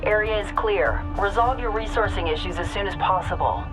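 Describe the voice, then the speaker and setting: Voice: medium pitch, fairly quick, close to an everyday voice, low-quality recording. A performer playing a curt, authoritative command controller on a comms link in a video game, speaking formally. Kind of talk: sci-fi game voice acting. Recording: music behind.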